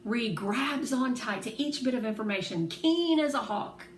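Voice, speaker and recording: A woman reading a children's novel aloud in expressive storytelling narration. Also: on the high side, normal speed. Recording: roomy echo, faint background noise.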